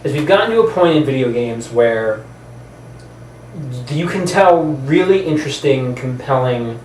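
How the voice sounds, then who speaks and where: A man co-hosting a casual discussion, arguing his opinions in a halting, conversational way and rephrasing as he goes. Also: mid-range voice, expressive, a little mellow. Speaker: podcast co-host. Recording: roomy, distant mic, background noise.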